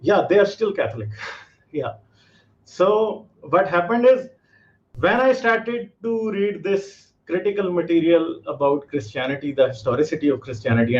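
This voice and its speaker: A man's voice: on the high side, a little mellow. A man from Pakistan, calling in and explaining his views earnestly, with many fillers. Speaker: caller. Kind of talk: call-in discussion. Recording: muffled lo-fi call audio.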